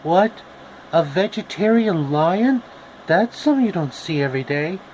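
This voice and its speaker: A man's voice: sits high, animated, moderate pace, darkish tone, a little hiss or hum, rough recording. A man voicing a plucky, frank young girl adventurer from a storybook. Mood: incredulous.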